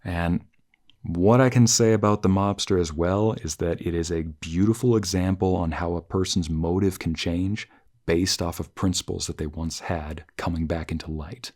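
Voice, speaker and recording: A man talking through a conversational review as a host, reflective and halting, often rephrasing his thoughts as he goes. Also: sits low, steady tone, a little mellow. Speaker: host of story review channel, narrator.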